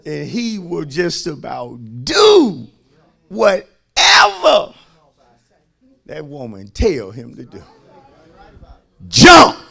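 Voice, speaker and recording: An American man preaches as a pastor in a high, raspy voice with a forceful edge. His delivery is slow and emphatic, full of folksy repetition. It swings widely between hushed asides and full-throated shouting. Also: huge pitch swings. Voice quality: raspy, gravelly. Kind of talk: fervent sermon.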